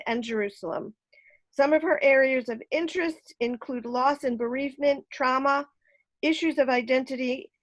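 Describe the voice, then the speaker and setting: A woman hosting an online talk, reading out a speaker's introduction in a steady, list-like way. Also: high-pitched, rough recording. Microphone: video call.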